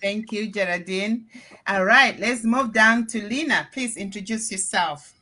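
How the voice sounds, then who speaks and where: A woman from Cameroon hosts a live online panel with a bright, forward voice. She chats casually and keeps the energy up. Her tone is upbeat and talkative. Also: medium pitch, a little slow. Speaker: host of a live panel show. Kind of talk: casual live-stream chat.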